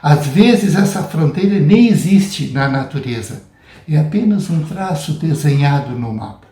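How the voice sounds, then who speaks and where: A man with a Brazilian Portuguese accent giving a prepared academic lecture in a deliberate, measured, didactic way. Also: a little high, dark tone. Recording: roomy, echoing sound.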